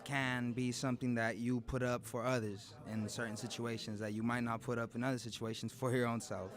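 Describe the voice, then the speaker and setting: Medium-pitched man, declarative phrasing. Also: flat delivery, brisk, crowd noise. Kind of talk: reflective interview answers.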